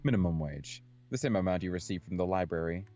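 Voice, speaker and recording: Man voicing a low, gloomy, stern school administrator. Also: warm tone, lightly acted. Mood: matter-of-fact. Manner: measured, formal, matter-of-fact. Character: gloomy, stern middle-aged male assistant principal. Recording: dull audio with music underneath.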